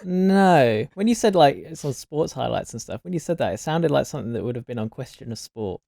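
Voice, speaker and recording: Low-key, dark-toned man with a creaky voice. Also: animated, fairly quick, no room sound, studio quality. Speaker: quiz contestant. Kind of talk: casual podcast chat.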